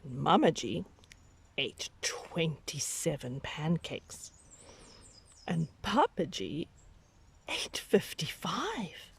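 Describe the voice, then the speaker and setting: Slow, expressive woman reading a children's story. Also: sits low, vocal fry, breathy edge. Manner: dramatic, drawn-out emphasis with whispery hushes. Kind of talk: expressive children's picture-book read-aloud.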